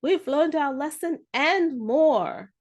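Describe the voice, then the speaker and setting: A woman's voice: high-pitched, lively intonation, takes it slowly, a little mellow, voice pushed up. A woman voicing an earnest, apologetic schoolboy pleading in a children's storybook.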